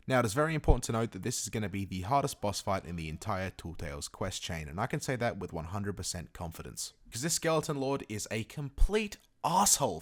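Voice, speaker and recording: A man narrating a video game tutorial in a casual, chatty way, with emphatic, informal asides. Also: lowish voice. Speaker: gaming video creator presenting a guide.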